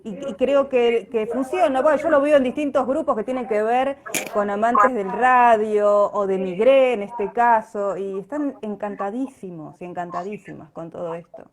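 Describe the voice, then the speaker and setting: Woman, highish voice, casual interview over video call, halting, keeps restarting phrases. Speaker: radio drama actress, interview guest. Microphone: live social media video call.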